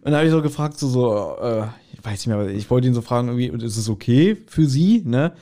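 A man with a mid-pitched voice co-hosts a podcast. He tells anecdotes in loose, colloquial speech. His manner is jokey and self-deprecating, like easy chat with a friend. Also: lively intonation, fairly quick, dark tone. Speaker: podcast co-host.

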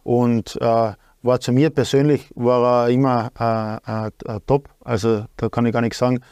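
An Austrian man in his thirties talks as a guest, stopping often with fillers and starting his sentences over. His pitch stays flat and even. The sound is close and dry. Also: normal speed, clear, resonant tone, no room sound, dull audio. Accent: Austrian German. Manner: hesitant, many fillers and restarts. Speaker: guest, sporting director. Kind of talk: casual talk show chat.

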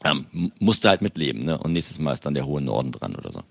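A man's voice: rumbling low, sing-song, fast, vocal fry, no echo. A man from Berlin co-hosting a casual podcast, cheerful and joking, often restarting his sentences. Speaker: podcast co-host.

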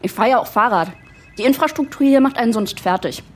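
An assertive office colleague in an audio drama. Her voice is fairly high and husky, and she speaks up forthrightly. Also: expressive, fairly quick. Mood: self-assured.

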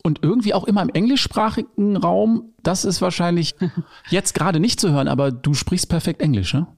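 Podcast host, male, higher voice with heavy vocal fry, upbeat interviewer. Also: fairly quick, a little mellow. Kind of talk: conversational interview hosting.

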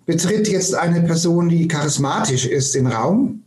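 A man speaking as a guest expert, explaining his ideas while stumbling over repeated words as he searches for the next one. His voice sits a bit higher than most men's. The recording has a roomy echo. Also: lively intonation, unhurried, darkish tone, a little hiss or hum. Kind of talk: conversational explaining.

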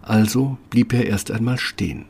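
A man's voice: on the low side, fairly even intonation, normal speed, vocal fry, a little mellow, breathy edge. A man reading scripted fairy-tale narration as the storyteller of a radio play.

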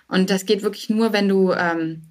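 Woman podcast guest, startup founder type, normal pitch, talks conversationally w english buzzwords mixed in. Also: fairly even intonation, moderate pace. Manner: mixes English business jargon into speech.

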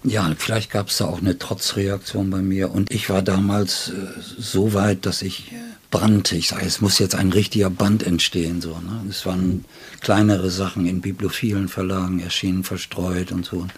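An older man, a writer, reminisces in an interview in a deliberate, anecdotal way with long, detailed sentences. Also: sits low, level tone, husky. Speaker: writer and literary editor being interviewed.

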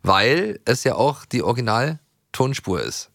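A man's voice: medium pitch, very animated, unhurried, creaky, fairly bright tone, dry recording, studio quality. A middle-aged man from East Germany chatting casually as a podcast co-host, colloquial and enthusiastic. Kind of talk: casual chat.

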